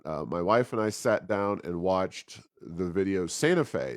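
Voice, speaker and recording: A man co-hosting a podcast, talking through news and announcements in a casual, halting conversational way. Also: on the low side, no echo, clean studio sound. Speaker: podcast co-host.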